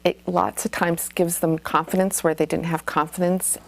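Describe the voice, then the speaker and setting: Female theatre educator, middle-aged, gravelly fry in a lowish voice, interview setting. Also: level tone, normal speed. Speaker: youth theatre staff member. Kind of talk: documentary interview.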